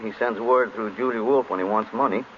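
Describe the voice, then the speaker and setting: An actor playing a fussy, harried lawyer in a 1930s radio drama, polite and hesitant, on a muffled, hissy old broadcast. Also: male voice, fairly low pitch, brisk, close to an everyday voice. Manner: hesitant, throat-clearing, polite.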